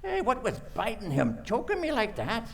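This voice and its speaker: A man plays a talkative, gullible neighborhood policeman with a bright, punchy voice. His folksy delivery bounces around in pitch, in old radio-comedy style. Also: wildly swooping pitch, moderate pace, gravelly, exaggerated voice. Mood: puzzled, eager. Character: chatty, gullible male neighborhood beat cop. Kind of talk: old-time radio play acting.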